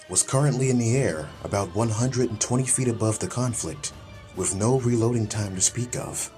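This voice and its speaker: A man reading a scripted, dramatic story as an audiobook narrator. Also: fairly low pitch.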